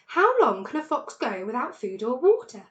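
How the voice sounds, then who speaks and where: A woman's voice: high voice, big pitch swings, normal speed, fairly bright tone, lo-fi sound. Lady reading as grim storybook farmer guy waiting it out, roomy echo. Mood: grim, impatient. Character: grim adult male farmers lying in wait. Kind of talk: children's storybook read-aloud.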